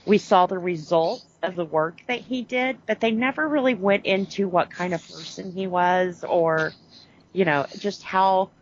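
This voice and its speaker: A woman's voice: fairly low pitch, measured pace, muffled recording, a little hiss or hum. A woman co-hosting a podcast in casual chat, rambling and correcting herself as she goes, laughing often. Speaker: podcast co-host.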